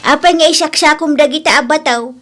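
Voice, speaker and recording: A woman's voice: sits high, brisk, fairly bright tone. A woman playing an indignant village auntie who scolds and protests to guard her things, in a comedic radio drama. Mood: questioning, firm.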